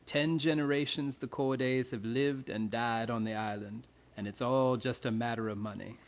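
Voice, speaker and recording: A man's voice: mid-range voice, fairly even intonation, normal speed, lightly acted. Man acting a cryptic, terse radio-play boatman. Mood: cryptic, matter-of-fact. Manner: evasive, matter-of-fact, terse. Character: cryptic, laconic male boatman rowing a young heiress.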